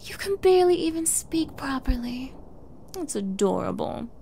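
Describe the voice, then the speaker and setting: A woman's voice: sits high, very animated, moderate pace, vocal fry, warm tone, husky, full character voice. A woman playing a sweetly menacing, possessive young girlfriend in an intimate ASMR roleplay, coy and cooing, often dropping into whispers. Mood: gleeful, mocking. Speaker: roleplay audio performer.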